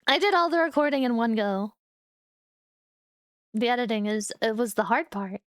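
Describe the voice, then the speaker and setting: A young adult woman streamer chatting casually with her viewers, relaxed and chatty, with frequent giggles. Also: fairly high pitch, normal speed, dry recording, studio quality. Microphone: studio microphone.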